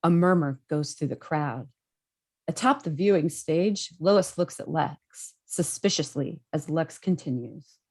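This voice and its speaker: Husky, lowish-voiced woman narrating a screenplay. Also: measured pace, a little mellow. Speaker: stage-direction reader.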